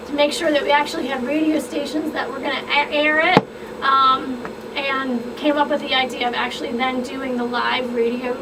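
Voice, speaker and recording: High-voiced woman on radio talk. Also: recorded from a distance. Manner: enthusiastic, explanatory. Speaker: guest expert. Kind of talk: radio talk show chat. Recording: radio studio, off-mic, noisy.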